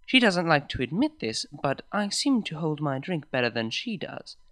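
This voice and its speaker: A man reading a first-person fan story aloud, with an expressive storytelling delivery. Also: fairly high pitch, moderate pace, no echo. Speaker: fanfiction reader.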